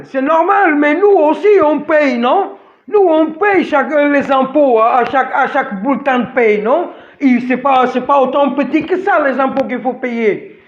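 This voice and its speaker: A man's voice: extremely high pitch, fairly bright tone. A man who moved to France and speaks French as a second language, delivering an emphatic, repetitive rant straight to his listeners with many restarts. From outside France. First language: not French. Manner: addresses listeners directly. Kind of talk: ranting monologue to camera. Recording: dull lo-fi recording.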